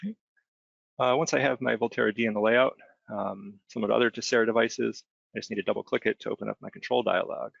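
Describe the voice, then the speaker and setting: A man giving an informal, step-by-step software demonstration as a webinar trainer, explaining conversationally and often checking in with short tag questions. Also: on the low side, steady tone, fast talker, vocal fry, no echo. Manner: conversational, explanatory, frequent check-in tags like "right?". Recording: dull, band-limited close dry voice recording.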